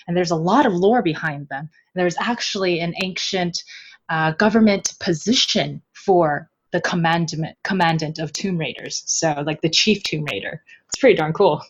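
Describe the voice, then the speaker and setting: A woman's voice: on the low side, normal speed, breathy edge, lo-fi sound. A woman chatting casually as an interview guest, playful and jokey as she explains things. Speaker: podcast guest, translator. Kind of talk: casual, animated interview chat.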